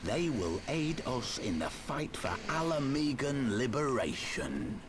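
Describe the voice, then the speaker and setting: An actor playing a proud, defiant resistance fighter in a video game, speaking in a grave, declamatory way. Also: male voice, medium pitch, big pitch swings, takes it slowly, noisy background. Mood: grave, candid. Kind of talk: video game cutscene voice acting.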